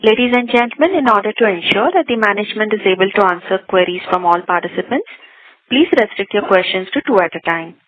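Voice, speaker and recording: A woman's voice: mid-range voice, lively intonation, quick pace, no echo. Formal woman operator on dull call audio. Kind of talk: scripted formal announcement.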